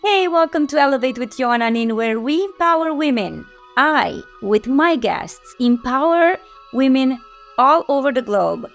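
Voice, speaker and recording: A woman's voice: high-pitched, expressive, measured pace, gravelly, faint music behind. A woman from Romania hosting a casual interview, bubbly and emphatic, laughing often and building lists of words. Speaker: podcast host.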